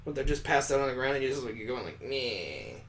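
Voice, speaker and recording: A man's voice: medium pitch, steady tone, rapid-fire. A man narrating a visual novel aloud, with casual, wry asides as he streams. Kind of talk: casual gameplay commentary and narration.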